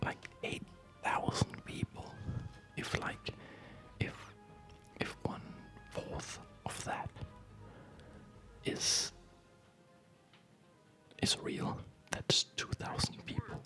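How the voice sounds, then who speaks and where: A man whispering breathily in a gentle, unhurried, rambling way, as host of an ASMR gaming video, close to the microphone. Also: soft background music, low-quality recording. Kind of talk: whispered ASMR chat over gameplay.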